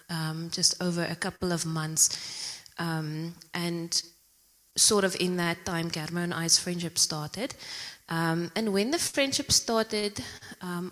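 A young woman from Zimbabwe sharing a personal testimony at church, earnest and soft-spoken, with many pauses and "um"s. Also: on the low side. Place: church hall. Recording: long reverb of a church hall.

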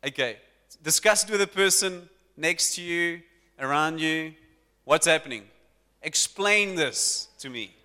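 A man preaching to his congregation as pastor, lively and talking directly to the people in front of him. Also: fairly high pitch, measured pace, clear, resonant tone. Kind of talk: sermon. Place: church hall. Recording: long church hall reverb.

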